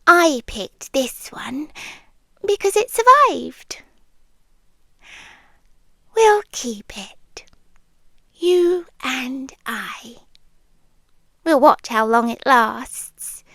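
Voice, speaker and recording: A British woman playing a possessive, sweetly menacing yandere girlfriend in an intimate ASMR roleplay, teasing and often slipping into breathy whispers. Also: high-pitched, sing-song, extremely slow, pauses often, fairly bright tone, no echo, studio quality, heavily acted. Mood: tender, wistful.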